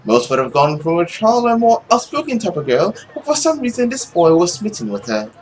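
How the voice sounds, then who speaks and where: A young adult man narrating a visual novel aloud as a let's play host, in an expressive, dramatic way. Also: high voice, brisk. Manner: animated, dramatic reading. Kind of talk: expressive story narration with casual commentary.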